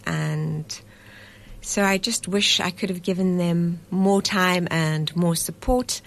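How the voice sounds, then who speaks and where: A woman from South Africa with a lowish voice talks as a guest in a radio studio. She explains things patiently and earnestly and often pauses mid-thought. Her tone is steady and conversational. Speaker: festival director.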